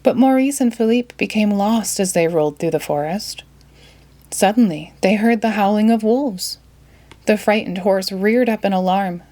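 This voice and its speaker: A woman's voice: medium pitch, dark tone. A woman with a mid-range voice narrates a children's storybook. She tells it expressively, as a read-aloud storyteller.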